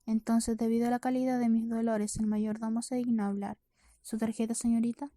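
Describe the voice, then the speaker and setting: A woman's voice: a little high, level tone, brisk, mellow, no room sound. A woman reading a first-person novel aloud as an audiobook narrator, in a scripted storytelling style with the occasional small stumble. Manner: reads with occasional stumbles and self-corrections. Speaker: audiobook reader.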